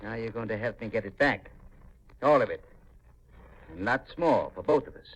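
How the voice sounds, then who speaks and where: An American man playing a greedy, scheming middle-aged country uncle in a 1950s radio mystery, folksy, curt and dismissive, with a husky voice. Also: on the low side, expressive, normal speed, fairly bright tone, heavily acted. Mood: scheming, insistent. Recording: dull, lo-fi 1950s radio recording.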